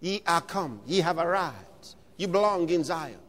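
Male preacher, very high voice, slow emphatic delivery, long hall reverb. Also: lively intonation. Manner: emphatic preaching, often shouting. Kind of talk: sermon.

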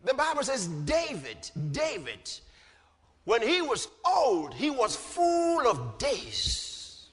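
A man's voice: extremely high pitch, huge pitch swings, slow, fairly bright tone. A man preaching an impassioned sermon, emphatic and repetitive, punctuated with rhetorical questions. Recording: long hall reverb.

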